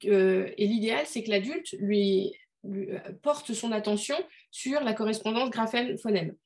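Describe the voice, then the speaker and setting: A woman's voice: medium pitch, normal speed, a little mellow. Hesitant woman leading an online workshop. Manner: hesitant, many fillers, conversational. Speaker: workshop leader.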